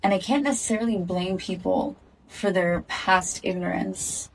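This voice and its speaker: Woman, earnest statement to camera, steady and measured, slightly off-mic. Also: on the low side, far from the mic, poor audio. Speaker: activist speaking in a video clip. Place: in Israel.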